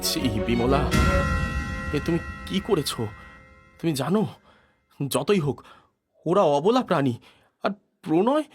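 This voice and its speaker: A man playing an anxious, emotional village headman in an animated melodrama. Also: a little high, slow, long pauses. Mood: shocked, reproachful. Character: terrified, pleading village headman, a married man. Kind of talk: dramatized animated village story. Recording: music behind the voice.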